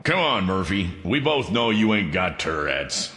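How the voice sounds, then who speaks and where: An actor playing a gruff, impatient, needling police officer in a noir radio drama. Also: male voice, sits low, sing-song, measured pace, sharp tone, heavily acted. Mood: gruff, urgent. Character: gruff, needling male police officer, detective's old acquaintance. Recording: roomy reverb, music underneath.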